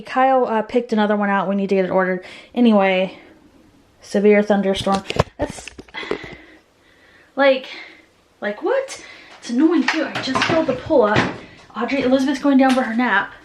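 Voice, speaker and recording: A woman's voice: on the high side, normal speed, pauses often, noisy background. A woman vlogging as a mother at home, talking casually in rambling, conversational asides. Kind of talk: casual vlog chat.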